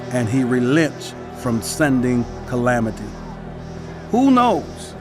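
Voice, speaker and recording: A slow, solemn prophet. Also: male voice, medium pitch. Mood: urgent exhortation. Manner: solemn, declamatory, measured. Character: male prophet narrator, grave and exhorting. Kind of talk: dramatized scripture narration.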